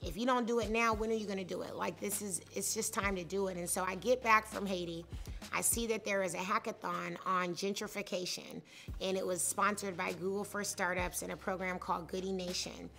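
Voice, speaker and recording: A woman in her thirties tells how she started her company, with a high-pitched voice. She talks candidly and conversationally, with plenty of fillers. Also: fairly even intonation, fairly quick, gravelly, bright, forceful tone, soft background music. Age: 30s. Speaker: startup founder. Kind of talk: personal story told in interview. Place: studio set with leafy wall.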